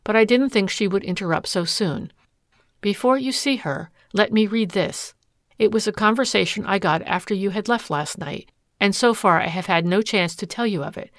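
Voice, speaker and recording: Woman doing a brisk female investigator, composed, sharp, dry voice. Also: medium pitch, dry recording, lightly acted. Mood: explaining.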